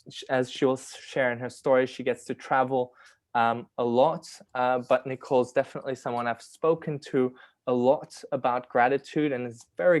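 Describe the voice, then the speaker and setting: A man's voice: mid-range voice, dry recording. A man hosting an online session and introducing a guest, hesitant with many fillers. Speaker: host.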